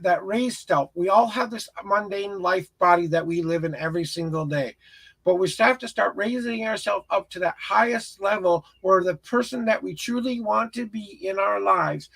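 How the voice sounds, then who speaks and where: A man with a crackly, raspy voice giving an earnest, encouraging and rambling tarot reading as a live stream host. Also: high-pitched, a bit bright. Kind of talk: spiritual tarot reading, casual guidance to a viewer.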